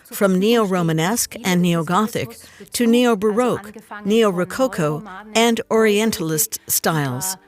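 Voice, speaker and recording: A woman speaks as an academic expert in a documentary interview voice-over. Her voice is of middle pitch and her manner is explanatory. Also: unhurried. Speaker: architecture history expert.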